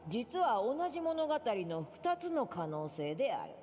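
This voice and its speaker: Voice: medium pitch, very animated, a little fast, darkish tone, slight background noise, natural voice. A performer voicing an ancient, cryptic prophet in a storybook game tale, speaking in solemn, archaic pronouncements. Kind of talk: theatrical storybook game dialogue. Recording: long hall reverb, music behind.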